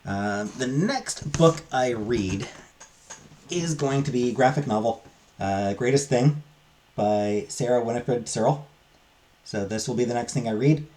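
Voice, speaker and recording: Low-voiced man giving a halting casual review. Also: dark tone. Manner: halting, hesitant delivery with trailing asides. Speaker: book reviewer. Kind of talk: casual unscripted review to camera.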